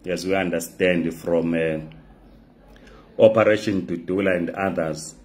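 A man, hosting a political talk show to camera, his voice hoarse from a flu, pausing often with "uh". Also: deep voice. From Zimbabwe. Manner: measured, frequent "uh" pauses. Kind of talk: direct-to-camera political address. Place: bright indoor room. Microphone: phone camera close up.

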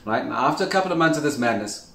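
A man's voice: medium pitch, animated, very fast, gravelly, a bit bright. A man in his forties from Zimbabwe gives a casual, earnest religious talk to a camera in his home kitchen and keeps checking in with "right?". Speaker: faith teacher. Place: indoors in a home kitchen with a large window. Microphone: camera at a few steps' distance. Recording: roomy, distant sound with faint hiss.

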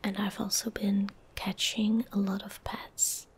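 A woman in her twenties giving soft-spoken ASMR game commentary in a breathy, gentle whisper, unhurried and close to the microphone. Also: medium pitch, flat delivery. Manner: slow, unhurried, gentle murmuring. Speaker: ASMR streamer. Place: small indoor room at a desk. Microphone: close desk microphone.

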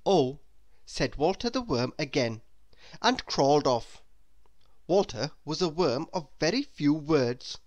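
Male storyteller, children's narration, hugely varied pitch, unhurried, expressive. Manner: expressive, deliberate read-aloud delivery. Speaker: storyteller reading a children's book aloud. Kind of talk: scripted narration for young children.

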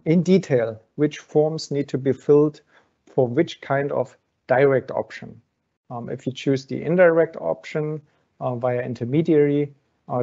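Gravelly man answering webinar questions. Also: medium pitch, warm tone. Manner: explains step by step with frequent fillers. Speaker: webinar host from an exchange. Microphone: video call. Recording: dull lo-fi video call audio.

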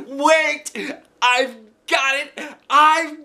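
Man doing a little boy, high, bright, breathless shouting, wildly excited, storybook kid. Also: drawn-out words, exaggerated voice.

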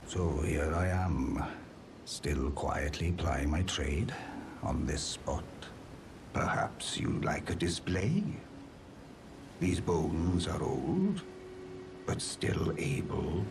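An actor playing a gentle old giant blacksmith, courteous and self-deprecating, in a video game. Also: male voice, rumbling low, very animated, extremely slow, noisy background, exaggerated voice. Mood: humble, calm. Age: older. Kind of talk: scripted video game character dialogue. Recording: loud music behind the voice. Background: music.

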